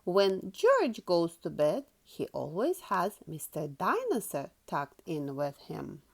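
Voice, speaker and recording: A woman reading a storybook aloud to children in an expressive, sing-song, carefully paced manner. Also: fairly low pitch, slow, vocal fry.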